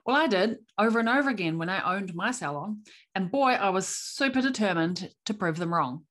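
A woman's voice: mid-range voice, animated, fairly quick, fairly bright tone. A woman hosting a business podcast, reading an upbeat intro and then interviewing a guest. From New Zealand. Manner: upbeat, promotional delivery. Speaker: podcast host.

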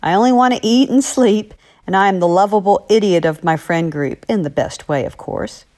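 A woman's voice: mid-range voice, normal speed, darkish tone. A woman speaking as an author answering listener letters, in a chatty, encouraging manner. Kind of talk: friendly listener question segment.

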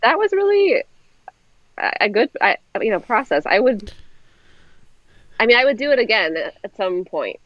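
A woman's voice: fairly high pitch, sing-song, normal speed, long pauses, no room sound. A woman guest talking through co-writing in a casual interview over a video call, thoughtful and hedging with fillers. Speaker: guest, co-author. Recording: dull dry video-call audio.

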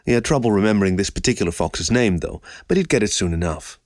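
Male narrator, fairly low pitch, dry close sound, scripted story reading. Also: expressive, fast, a little mellow, dry recording. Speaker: audiobook narrator.

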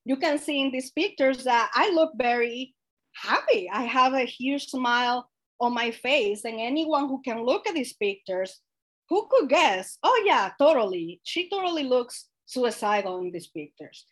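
A woman gives an earnest presentation over a video call. Her voice sits a little high, and her delivery is animated and sincere, with fillers and soft laughs. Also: measured pace. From Dominican Republic. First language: Spanish. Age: late 20s. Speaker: guest speaker.

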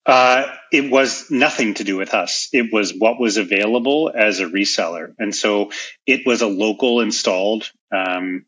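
A Canadian man speaks as an interview guest, in a low voice with a husky edge and a bright, pressing tone. He explains his business informally, like someone telling stories in conversation. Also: moderate pace, muffled recording. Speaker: interview guest, software company founder and chief executive.

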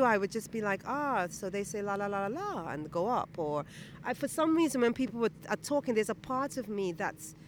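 A woman poet from Guyana speaks. Her answers come slowly and thoughtfully, with fillers and restarts along the way. She was recorded outdoors near a city church, with faint traffic in the background. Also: mid-range voice. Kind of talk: reflective interview answers.

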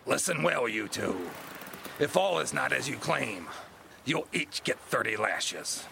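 An actor playing a harsh, domineering pirate sea captain in an audio drama, gruff and threatening. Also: male voice, medium pitch, sing-song, measured pace, noisy background, heavily acted. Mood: menacing, demanding. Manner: gruff, commanding, threatening, sneering.

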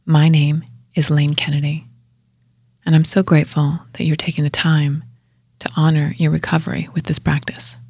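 Woman, yoga nidra teacher, very breathy near-whisper, gravelly fry, hushed delivery, short phrases with pauses. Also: sits low, level tone, no room sound, clean studio sound. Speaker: guided meditation teacher and show host. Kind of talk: guided yoga nidra meditation.

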